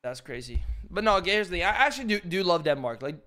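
A man's voice: high voice, sing-song, fast talker, bright, forceful tone. A young man from Norway, teasing his teammate in casual game chat, laughing often and asking the same question again and again. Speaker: streamer and arena teammate.

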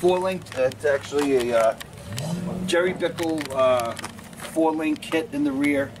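A man's voice: fairly high pitch, a little slow. Long Island man listing engine parts. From Long Island, New York. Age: 40s. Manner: rattles off technical parts lists matter-of-factly. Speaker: car owner. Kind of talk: casual interview at a car show. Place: outdoor parking lot at a car show. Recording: outdoor noise behind.

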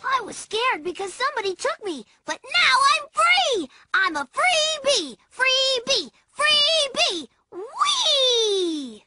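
Very high-pitched little boy critter. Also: wildly swooping pitch, slow, few pauses, full character voice. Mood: excited. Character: small, childlike, earnest boy cartoon animal sidekick. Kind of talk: video game cutscene acting. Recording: loud music behind.

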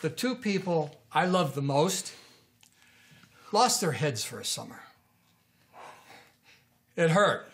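An actor in his sixties playing a gruff, wounded older American man, blunt and sardonic, in naturalistic film dialogue. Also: a little high, big pitch swings, takes it slowly, long pauses, a bit bright, full character voice. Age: 60s.

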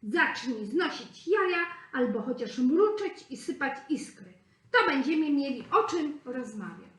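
Storybook cat, haughty and lecturing, pitched up and loud , as a woman reads it, roomy echo. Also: big pitch swings, measured pace, heavily acted. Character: smug lecturing house cat.

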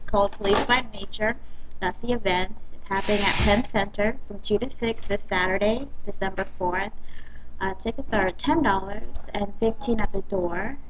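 A woman's voice: mid-range voice, normal speed, background noise. A young woman with a light, girlish voice, chatting on a webcam in a casual, upbeat vlog with frequent ums, seated in a coffee shop. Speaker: dance company director and vlogger. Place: small indoor room, coffee shop. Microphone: built-in webcam mic.

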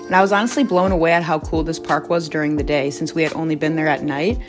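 Woman, twenties, travel vlogger, chatty, enthusiastic, lowish voice, loud background music. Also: fairly even intonation, fast. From United States. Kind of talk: casual travel vlog narration. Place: home office, indoors.

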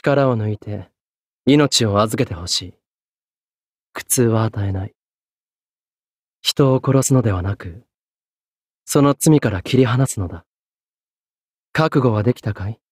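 An actor playing a solemn, courteous young executioner in a Japanese anime game, speaking in a polite, measured, grave way. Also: male voice, fairly low pitch, darkish tone, no echo, studio quality. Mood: cold, calm. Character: solemn, courteous young executioner, a guilt-burdened French swordsman.

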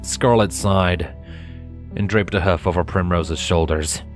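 Low-voiced male story narrator. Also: steady tone, unhurried, faint music behind.

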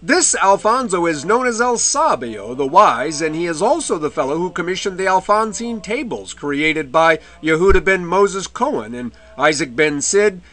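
Bright-voiced male history lecturer. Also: sits high, animated. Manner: measured, with wry asides. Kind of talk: illustrated history lecture narration. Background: faint medieval music.

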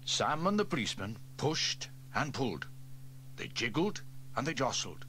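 A man narrating a children's audio story in an expressive, animated read-aloud manner. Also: mid-range voice, normal speed, slight background noise.

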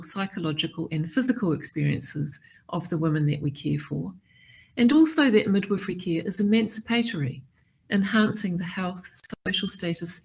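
Middle-aged woman, keynote speaker, husky low voice, deliberate and measured. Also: a little mellow. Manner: measured, deliberate delivery with listed points. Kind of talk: formal prepared keynote address.

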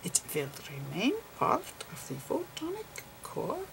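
A woman voicing a gentle, eccentric old male physicist, lecturing haltingly in a murmured ASMR role-play. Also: mid-range voice, huge pitch swings, gravelly, background noise, full character voice. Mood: patient, explaining. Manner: halting, whispery, murmured explanations with quaint formal phrasing.

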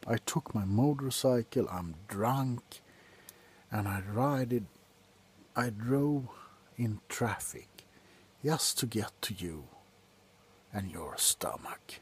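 A middle-aged man playing a grumpy, drunk doctor in an ASMR roleplay, grumbling sardonically close to the mic between soft talk and whispers. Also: mid-range voice, expressive, slow, long pauses, husky. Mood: grumbling, sardonic. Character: grumpy, drunk male doctor on a Christmas house call. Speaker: ASMR performer. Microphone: very close to the face.

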